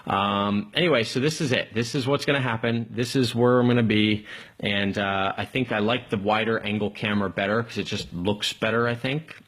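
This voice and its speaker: Slightly husky, low-pitched man hosting casually. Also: steady tone, a little fast, fairly bright tone. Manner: rambling, enthusiastic, informal. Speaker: live tech show host. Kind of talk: casual live call-in stream chat.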